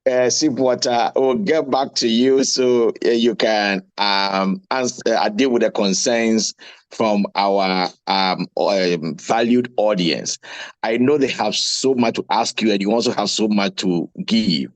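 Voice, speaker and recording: A man's voice: lowish voice, takes it slowly, no room sound. A man hosting a seminar, giving formal, ceremonious introductions of speakers. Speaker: host. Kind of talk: moderating a seminar.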